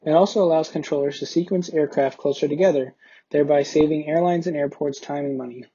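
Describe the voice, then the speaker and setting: Young guy playing a busy air traffic controller, soft husky voice, bit dull audio. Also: on the high side, steady tone, fast. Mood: explanatory. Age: young adult. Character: busy, impatient air traffic controller who explains things. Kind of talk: amateur comedy skit, scripted explanation.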